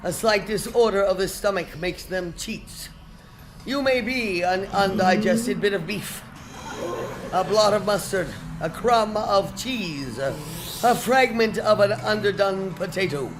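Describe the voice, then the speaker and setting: An older woman with a husky, low voice, acting a crotchety, sceptical old miser in a live radio drama, blunt and dramatic. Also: a little slow, slight background noise, close to an everyday voice, poor audio. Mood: curt, sceptical.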